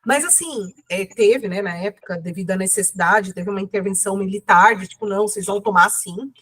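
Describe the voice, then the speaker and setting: A woman's voice: mid-range voice, a little fast, a bit bright, slightly rough. A woman with a cold, speaking casually as an interview guest, rambling with many fillers and self-corrections. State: has a cold.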